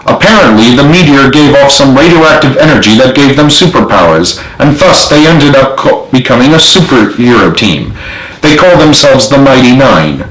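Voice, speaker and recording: An earnest army sergeant, voiced by a man reading a storybook speaks with commanding emphasis. The pitch sits raised, and the room adds clear echo. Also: expressive, normal speed, a bit bright, lightly acted. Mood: earnest explaining.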